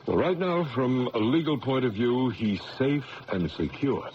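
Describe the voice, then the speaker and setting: A man's voice: medium pitch, animated, a little slow, natural voice. An actor playing a determined male judge in a radio drama, earnest, formal and resolute. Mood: grave, determined. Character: grave, determined male judge bent on justice. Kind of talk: radio drama acting with first-person narration. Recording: muffled, noisy old radio recording.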